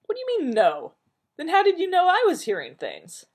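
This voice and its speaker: A woman's voice: mid-range voice, big pitch swings, normal speed, a little mellow, husky, voice pushed up. Woman doing a whiny, put-upon male doctor. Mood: exasperated. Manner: whiny, exasperated complaining. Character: neurotic, irritable middle-aged male doctor.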